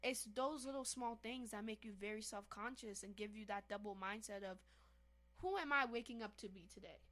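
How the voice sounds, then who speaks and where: A woman's voice: medium pitch, normal speed. A young woman from Haiti co-hosting a podcast in casual, emphatic chat, often calling out to listeners. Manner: animated, emphatic, addresses listeners as y'all. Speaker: podcast co-host.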